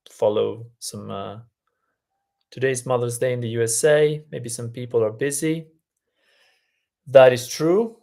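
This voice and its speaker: A man who is a native Italian speaker, coaching on a live-stream lesson in an unhurried way, with many ums and restarts. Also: mid-range voice, a little mellow. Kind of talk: live-stream lesson, reading chat aloud.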